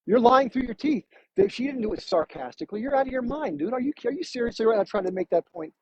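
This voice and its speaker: A man hosting a live stream, arguing forcefully with a caller and talking over him. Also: very high-pitched, animated, quick pace, dry recording. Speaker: livestream host. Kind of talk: heated live debate. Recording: muffled live stream with background noise.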